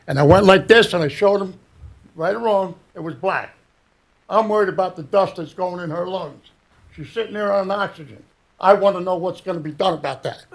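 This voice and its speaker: A high-pitched man with a raspy, gravelly, hoarse voice, speaking as a resident at a village planning board meeting. He is worried and insistent and keeps repeating his concerns. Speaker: resident speaking at public comment.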